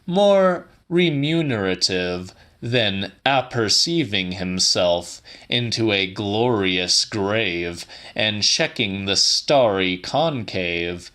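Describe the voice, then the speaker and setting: Slow, bright-voiced male audiobook narrator. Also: fairly low pitch. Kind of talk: dramatic literary narration.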